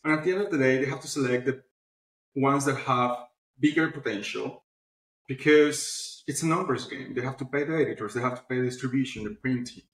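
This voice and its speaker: Male interview guest, mid-pitched, animated conversational storyteller, roomy echoey recording. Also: brisk. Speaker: interview guest, publishing platform founder. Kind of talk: casual conversational interview answers.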